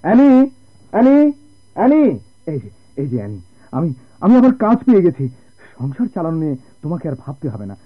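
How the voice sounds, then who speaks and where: An actor playing an eager, stubborn sailor husband, pleading tenderly and dramatically in a radio play. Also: male voice, a little high, huge pitch swings, fairly quick, pauses often, slightly rough, heavily acted. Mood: excited, elated. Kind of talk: Bengali radio drama acting. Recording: muffled old radio drama recording.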